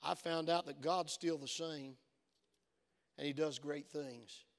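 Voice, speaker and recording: A man's voice: a little high, steady tone, slow pace. A man preaching a sermon as pastor, with a voice worn from use and an earnest storytelling manner that builds to fervent exhortation. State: voice worn from many phone calls. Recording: long reverb of a church hall.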